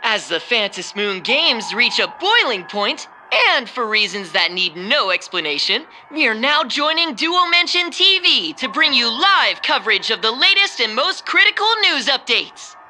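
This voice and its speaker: A woman's voice: a little high, very animated, moderate pace, few pauses, sharp tone, slight background noise, exaggerated voice. A woman voicing a perky female cartoon mascot who presents game news in a bubbly, theatrical newscaster manner. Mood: dramatic, newsy. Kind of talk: scripted mock TV news presenting.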